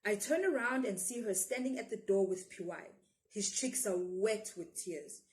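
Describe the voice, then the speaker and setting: A woman's voice: medium pitch, normal speed, low-quality recording. A woman with a South African accent reads a children's story expressively as a storyteller.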